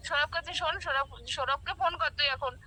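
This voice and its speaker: A woman's voice: high voice, fairly even intonation, fast talker, bright, forceful tone, a little hiss or hum. A woman on the phone in a heated informal argument, defending herself indignantly and repeating herself. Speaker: friend receiving a prank call.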